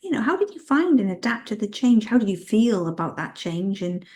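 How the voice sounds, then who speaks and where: A woman's voice: medium pitch, very animated, brisk, darkish tone. A woman hosting an interview, asking long rambling questions and affirming her guest. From United Kingdom. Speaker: host. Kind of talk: casual interview.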